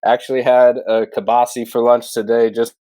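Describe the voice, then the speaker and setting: A man's voice: medium pitch, flat delivery, normal speed, dark tone, no room sound. A man hosting a podcast, talking in a casual, rambling and enthusiastic interview style. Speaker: podcast host. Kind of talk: casual interview with long introduction.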